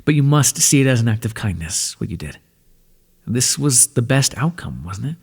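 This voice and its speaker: An ancient lord of the dead, played by a man, speaks softly and tenderly, his husky, breathy voice sitting at a middle pitch. His measured, reassuring words trail off into a long, lingering reverb. It sounds like an ASMR roleplay. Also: big pitch swings, exaggerated voice. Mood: gentle, soothing. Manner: tender and reassuring toward the listener. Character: calm, ancient male god of the underworld.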